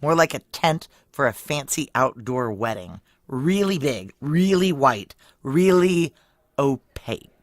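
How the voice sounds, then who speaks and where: A woman playing a gruff, world-weary ex-Ranger security chief in an audio drama, brusque, wry and commanding. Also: very deep voice, animated, slow pace, exaggerated voice. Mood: commanding, dry. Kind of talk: naturalistic audio drama acting with first-person narration.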